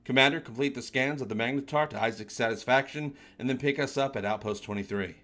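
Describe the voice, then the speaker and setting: A man's voice: mid-range voice, fast, fairly bright tone, close to an everyday voice. A man playing a weary, touchy starship captain in a sci-fi comic read-along, grumbling and dryly defensive. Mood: curt, grumbling.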